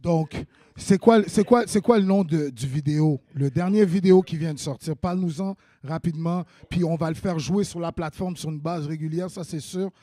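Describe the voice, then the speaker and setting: A man's voice: on the high side, normal speed, mellow, no room sound. A man with a Quebec French accent hosting a web TV interview, hyping up his guests. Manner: enthusiastic, hypes up guests. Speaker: web TV host.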